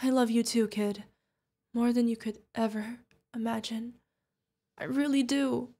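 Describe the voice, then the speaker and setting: A woman's voice: a little high, steady tone, measured pace, warm tone. A woman playing a fierce yet tender witch mentor who is protective of an adopted pupil, in an emotional fantasy audio roleplay. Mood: tender, worried. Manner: emotional, commanding, affectionate, pleading. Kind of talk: ASMR fantasy roleplay acting.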